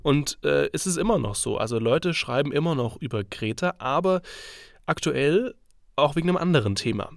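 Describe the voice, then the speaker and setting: A man's voice: mid-range voice, no room sound, studio quality. A man with a cold and a congested, stuffy voice hosts his own podcast, talking casually and thinking aloud with fillers. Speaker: podcast host. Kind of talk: solo podcast commentary.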